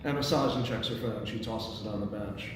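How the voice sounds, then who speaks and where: A man leading a table read, talking informally and reading aloud, with a somewhat halting delivery. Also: fairly low pitch. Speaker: moderator of a screenplay table read. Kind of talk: informal group discussion and reading aloud stage directions. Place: echoey room with a group. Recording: echoey, noisy room, distant microphone.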